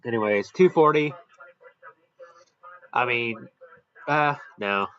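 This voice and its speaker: A man's voice: medium pitch, takes it slowly, fairly bright tone, smooth, highs cut off. A man giving casual, off-the-cuff commentary as he hosts a product ranking. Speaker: host ranking weather radios.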